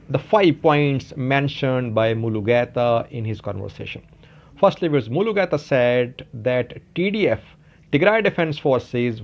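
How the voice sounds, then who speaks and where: A man presenting a solo news explainer point by point, in a deliberate manner, addressing his viewers. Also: medium pitch. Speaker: news commentator, channel host. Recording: dull, lo-fi voice recording.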